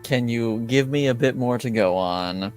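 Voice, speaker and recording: A man voicing a reluctant, deadpan guard in tabletop roleplay, terse, dry and resigned. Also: medium pitch, unhurried, close to an everyday voice. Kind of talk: improvised tabletop roleplay dialogue. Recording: music behind the voice.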